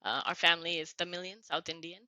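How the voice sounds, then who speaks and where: A woman's voice: lowish voice, steady tone, quick pace, pushed and bright, clear, resonant tone, no echo. A middle-aged woman from New Jersey, a podcast guest telling her life story in an earnest, grateful tone. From United States (New Jersey). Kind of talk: reflective conversational storytelling.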